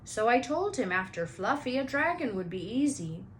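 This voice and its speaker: A woman's voice: on the high side, sing-song, moderate pace, slight background noise, voice pushed up. A woman voicing a big friendly, rambling gamekeeper man in a children's book read-aloud. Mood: casual, rambling. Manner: folksy, rambling, blurting.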